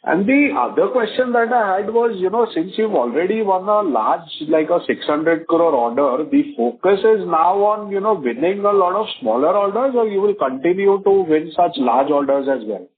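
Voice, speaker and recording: A man's voice: high voice, lively intonation, moderate pace, hardly any pauses, slightly rough. A male analyst, asking an executive polite questions on an earnings call and hedging with fillers. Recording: tinny phone line with faint noise.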